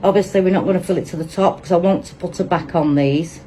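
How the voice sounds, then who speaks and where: Low-voiced Manchester woman, chatty crafter. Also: fast talker, mellow, a little hiss or hum. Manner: chatty, thinks aloud while working. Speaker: resin crafter demonstrating. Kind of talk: casual hands-on tutorial.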